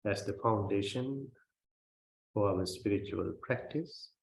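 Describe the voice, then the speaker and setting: A man who is a Buddhist meditation teacher, guiding a meditation in a gentle, measured way with long pauses between phrases. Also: on the low side, creaky. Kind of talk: guided meditation. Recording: roomy, reverberant sound.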